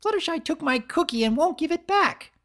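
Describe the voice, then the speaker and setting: Hyper young mare for a cartoon story: high-pitched, emphatic, high-strung. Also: female voice, expressive, fairly quick, fairly bright tone. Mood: complaining, insistent. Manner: emphatic, insistent, strained cheer. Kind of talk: dramatic fan-fiction reading.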